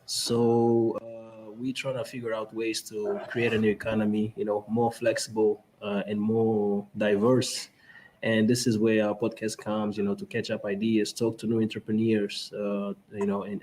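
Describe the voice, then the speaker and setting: A man from Angola with an Angolan Portuguese accent, hosting a podcast and talking in a halting way with many fillers and restarts. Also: on the low side, level tone, mellow, slight background noise, poor audio. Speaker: podcast host. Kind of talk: casual conference talk.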